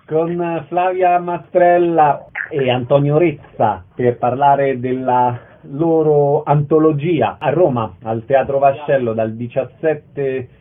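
The sound is thin and phone-like. A man with a fairly high voice speaks as a radio interviewer, introducing his subject and asking brief questions. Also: steady tone, takes it slowly.

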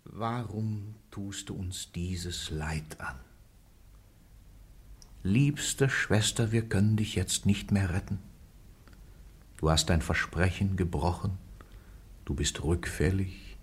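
An actor playing an anxious cleric in a radio play, urging and imploring with great emotion. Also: male voice, deep voice, takes it slowly, pauses often. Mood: sorrowful. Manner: urgent, imploring.

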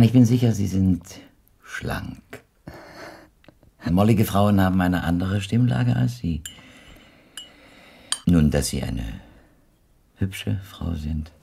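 Radio play: ingratiating blind widower, very low husky voice, poetic and formal. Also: male voice, mellow, full character voice. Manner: flowery, poetic, formally polite, hesitant. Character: courtly blind widowed former musician, ingratiating and observant. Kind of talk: German radio drama acting.

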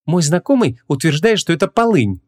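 A man's voice: a little high, very animated, fast, no echo, studio quality, voice pushed up. A man voicing a sarcastic, hot-tempered young woman in an audiobook, sometimes awkward and sometimes biting. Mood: mocking. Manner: hesitant when unsure, biting when annoyed.

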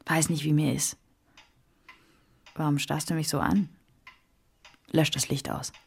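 Breathy, low-voiced, dreamy woman. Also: steady tone, unhurried, a little mellow, heavily acted. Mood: dreamy, longing. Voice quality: breathy, often half-whispering. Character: solicitous, dreamy, restless woman of the house. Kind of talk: German radio play acting.

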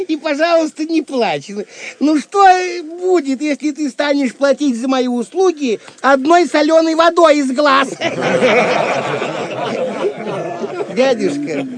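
An actor playing a playful, affectionate court jester in an audio drama, with wry, teasing wit. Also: male voice, very high-pitched. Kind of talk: Russian audio drama acting.

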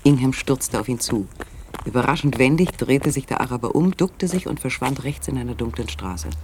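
Male narrator for radio drama, mid pitch, measured and suspenseful, faint ambience behind. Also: animated, a little mellow, a little hiss or hum. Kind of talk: scripted literary narration in radio play.

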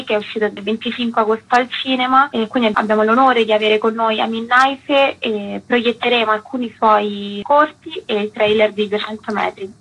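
A woman's voice: fairly high pitch, fairly bright tone, slightly rough, poor audio. A woman being interviewed on the radio, explaining things in an earnest, committed way. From Palestinian community. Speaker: interviewee, festival representative.

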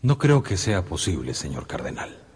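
A man's voice: extremely low pitch, sing-song, normal speed, a little mellow, husky, no echo, exaggerated voice. An actor playing a young swordsman hero in a radio drama, sincere, resolute and respectful in manner. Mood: respectful, firm. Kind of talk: Spanish-language radio drama acting.

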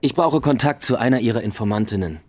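An actor playing a dogged police detective, direct and coolly insistent, in a radio drama. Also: male voice, medium pitch, lively intonation, fast, a little mellow, natural voice. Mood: coolly pressing.

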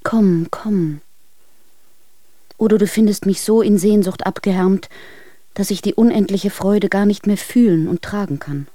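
A woman's voice: mid-range voice, a little slow, warm tone, no echo, natural voice. An actress in a German radio drama playing a desperate, lovesick, impetuous young woman, pleading urgently and overwrought.

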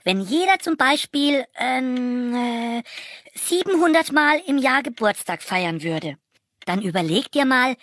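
An actor voicing a cheerful, scatterbrained young cartoon mouse in a children's audio play, excitable and naive. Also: high-pitched, measured pace, dry recording, studio quality. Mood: solemn, bumbling. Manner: excitable, naive, hesitant speechmaking.

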